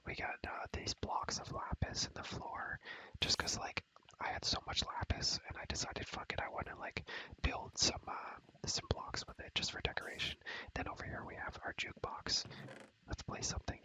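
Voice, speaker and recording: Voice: bright, forceful tone, poor audio. A whispering ASMR creator giving a casual, slangy gaming tour, often repeating words and phrases in a rhythmic way. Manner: slangy, casual, mild swearing. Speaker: video creator giving a game world tour. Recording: music behind the whispering. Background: music.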